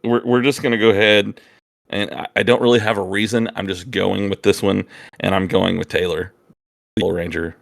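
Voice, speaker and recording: Male guest co-host, gravelly and close-sounding, hesitant, thoughtful delivery in relaxed conversation. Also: deep voice, moderate pace, dry recording. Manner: hesitant, thinking aloud. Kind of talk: casual chat.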